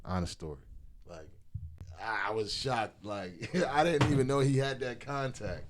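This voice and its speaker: A man's voice: lowish voice, unhurried. A young man, a guest, telling stories in a casual chat. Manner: animated storyteller.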